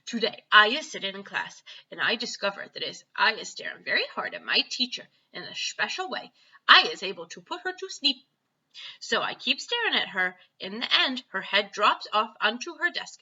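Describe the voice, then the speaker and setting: Woman as boastful excited child, bright, forceful. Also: medium pitch, moderate pace, breathy edge, lo-fi sound, heavily acted. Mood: gleeful. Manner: excited, emphatic, ungrammatical storytelling. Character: boastful child recounting dreams, muddled grammar.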